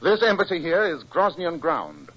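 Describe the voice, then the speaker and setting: An actor playing an incisive, proud English detective with a British accent, precise and emphatic, in 1940s radio style. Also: male voice, fairly high pitch, wildly swooping pitch, normal speed, a bit bright, slightly rough, exaggerated voice. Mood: triumphant, accusing. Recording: dull 1940s radio recording.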